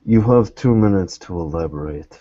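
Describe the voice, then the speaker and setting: Man playing a terse, suspicious low-voiced man. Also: expressive, mellow. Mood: guarded, demanding. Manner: terse, demanding, deliberate. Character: guarded, suspicious man on a phone call. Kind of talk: tabletop roleplay acting. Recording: dull lo-fi audio.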